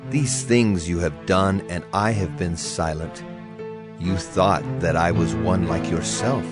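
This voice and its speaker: A man's voice: low voice, fairly even intonation, slow pace. A man giving a solemn, declamatory dramatized reading of psalms as a scripture narrator. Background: music.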